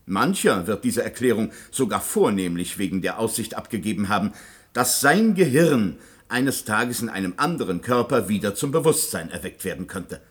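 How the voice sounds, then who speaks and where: An actor playing a callous, impatient scientist doctor in a science-fiction radio play, clinical and brusque in manner. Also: male voice, fairly low pitch, big pitch swings, normal speed, breathy edge. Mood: matter-of-fact, defensive. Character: callous, impatient male scientist doctor, coolly self-justifying. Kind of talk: German science-fiction radio drama acting.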